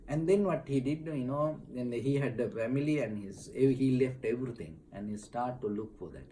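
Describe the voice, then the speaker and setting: Mellow-voiced Sri monk. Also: male voice, medium pitch, a little hiss or hum, low-quality recording. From Sri Lanka. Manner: halting, with many fillers and restarts. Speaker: Buddhist monk. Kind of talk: explaining teachings in an interview. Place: temple.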